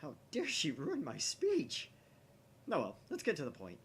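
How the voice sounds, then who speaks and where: A man voicing a grandiose young mad scientist in a visual novel, declaiming theatrically with pompous, dramatic pauses. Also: a little high, huge pitch swings, fairly bright tone, a little hiss or hum, voice pushed up, full character voice. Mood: smug, grandiose.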